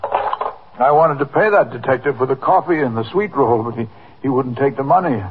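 A man's voice: medium pitch, normal speed, husky, lightly acted. An actor playing an anxious, proud old man in a 1950s radio drama, hesitant and stammering as he repeats himself. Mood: earnest. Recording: tinny, noisy 1950s radio recording.

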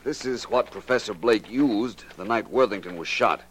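An actor playing a confident, clever detective in a 1940s radio drama, with an assured, explanatory manner. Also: male voice, lowish voice, moderate pace, husky. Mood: confident, explaining.

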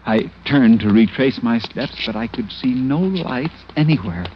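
A man's voice: medium pitch, animated, measured pace, a little hiss or hum, natural voice. A middle-aged actor playing a timid, fussy American tourist in a 1940s radio drama, hesitant and flustered as he recounts his misadventure. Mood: anxious recounting. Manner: hesitant, stammering, prim and flustered. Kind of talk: 1940s radio drama acting, first-person narration. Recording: muffled vintage radio recording with music.

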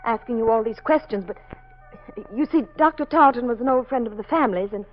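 An actress as an anxious, high-voiced daughter. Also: female voice, quick pace, lightly acted. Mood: polite, shaken. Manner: anxious, emotional, pleading. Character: anxious, insistent grown daughter. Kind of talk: 1940s radio drama acting. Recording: muffled 1940s radio recording.

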